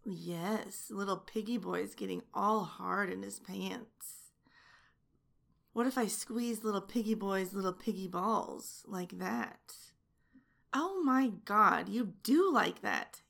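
Woman playing a slow, gravelly flight attendant. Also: medium pitch, expressive, a bit bright, exaggerated voice. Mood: mocking. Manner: mocking, teasing taunts. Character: young female budget-airline attendant, sharp-tongued and domineering. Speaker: voice actor in an audio roleplay. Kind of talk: adult audio roleplay.

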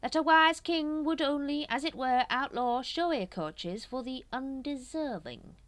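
A woman voicing a scheming, smooth-talking male cult leader who draws out his words to steer his followers. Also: sits high, animated, fairly bright tone, no echo, voice pushed up. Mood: coaxing. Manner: silky, manipulative, drawn-out phrasing.

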